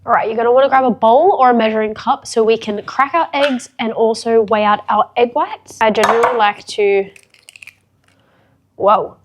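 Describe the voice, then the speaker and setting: Congested woman chatting while cooking. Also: fairly high pitch. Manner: chatty, upbeat, frank, swears casually. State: congested, sick. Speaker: fitness vlogger. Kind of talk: casual cooking tutorial. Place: home kitchen, indoors.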